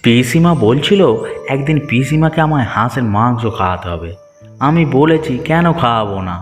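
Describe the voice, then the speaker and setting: A male actor voices a dutiful village nephew from a cartoon moral tale. He speaks earnestly and familiarly at a medium pitch. The sound has a long reverb and music behind it. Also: animated, normal speed, natural voice. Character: dutiful, good-natured village nephew and husband. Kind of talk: cartoon moral-story dialogue.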